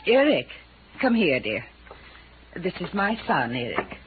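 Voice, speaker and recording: A woman's voice: lowish voice, big pitch swings, unhurried, over music. An actress in a 1950s radio drama playing a weary, drink-numbed invalid mother, vague, genteel and apologetic. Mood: politely composed. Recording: noisy, muffled 1950s radio recording.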